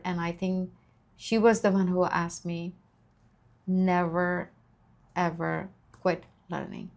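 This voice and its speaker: A middle-aged woman from Nepal speaks in a low voice that stays flat and level. She tells her story in an earnest, grateful, measured way, like a guest in an interview. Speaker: nurse practitioner guest. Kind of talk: interview answers, life story.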